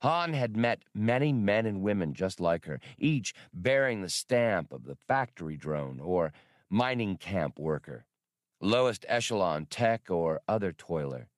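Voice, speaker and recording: Guy narrating a novel, really deep voice, super dry sound, no room on it. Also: sing-song, unhurried, dry recording. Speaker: audiobook narrator. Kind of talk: scripted fiction narration.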